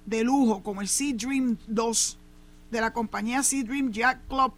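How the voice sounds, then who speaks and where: Puerto rican lady doing emphatic news commentary, bright sharp voice, tosses in english asides. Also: a little high. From Puerto Rico. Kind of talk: opinion commentary on local news.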